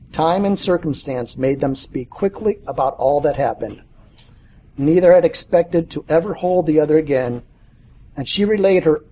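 A middle-aged man with a slightly high, mellow voice reads his short story aloud. His delivery is measured, with an occasional stumble. There is faint noise behind him in the live recording. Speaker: author reading own short story. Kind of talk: reading a personal story aloud.

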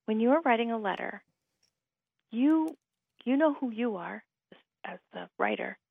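A woman from Hawaii talks as a guest over a tinny phone line, her voice gravelly with fry. She speaks thoughtfully and articulately, sometimes restarting a phrase. The sound is dry and close, with no room around it. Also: fairly high pitch, expressive, a little slow, no echo. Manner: articulate, thoughtful, with repeated starts. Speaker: writer and guest. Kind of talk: reflective radio conversation.

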